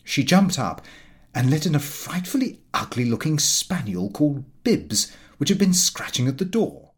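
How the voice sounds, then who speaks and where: A man reading a comic first-person diary aloud in an expressive, fussy storytelling manner. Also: on the high side, moderate pace, husky. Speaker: audiobook narrator.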